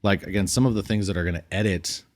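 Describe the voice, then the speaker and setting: Deep voiced guy cohosting a tech podcast, chatty, thinks out loud, asks questions. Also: quick pace. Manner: conversational, thinks aloud, steers with questions. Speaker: podcast co-host, audio system designer. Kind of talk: casual technical podcast chat.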